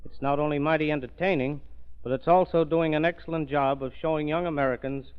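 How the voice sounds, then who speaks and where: A man, a police official as guest, giving prepared remarks in an earnest, formal, deliberate manner. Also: fairly high pitch, fairly even intonation, clear, resonant tone. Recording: muffled 1930s radio broadcast.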